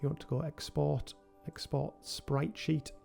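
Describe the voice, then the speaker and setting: A man with a cold hosting a relaxed software tutorial, talking through each step with frequent ums. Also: on the high side, a little slow, creaky, faint music behind. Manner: relaxed, thinking aloud with many ums. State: has a cold. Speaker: game developer tutorial host. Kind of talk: screen-recorded software tutorial.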